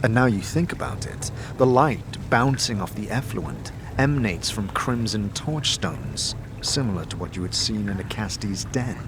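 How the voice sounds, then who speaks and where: A man giving scripted fantasy narration in a dramatic, measured storytelling manner. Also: lowish voice, animated, noisy background. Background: music.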